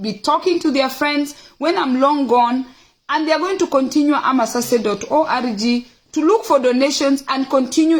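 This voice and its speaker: A woman's voice: high voice, poor audio. A middle-aged woman with a Kenyan accent, talking casually and emphatically to her viewers, repeating phrases for stress. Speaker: host of a personal livestream. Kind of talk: casual, opinionated talk to viewers, reading out questions.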